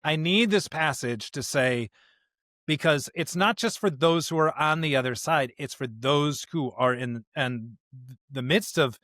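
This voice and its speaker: A man with an American accent hosting a podcast, talking reflectively about scripture and current events in a halting, searching way. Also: a little high, a bit bright, no echo, clean studio sound. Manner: halting, searching delivery with repeated words. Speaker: podcast host.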